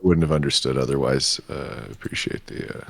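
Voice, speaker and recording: Very deep-voiced American man. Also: steady tone, fairly quick, creaky, husky. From United States. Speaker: guest performer. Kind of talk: casual chat.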